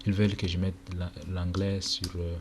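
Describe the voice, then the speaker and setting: A young man from Chad whose first language is Arabic, casually talking through a screen-recorded walkthrough with many pauses and fillers. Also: deep voice, flat delivery, darkish tone. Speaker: tutorial presenter. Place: indoor room at a computer. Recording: noisy home recording with keyboard clicks.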